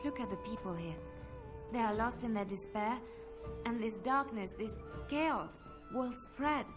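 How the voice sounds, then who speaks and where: An actress playing a devout, gentle young believer in a fantasy game, with an earnest, pleading way of talking. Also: female voice, fairly high pitch, unhurried, music in the background, natural voice.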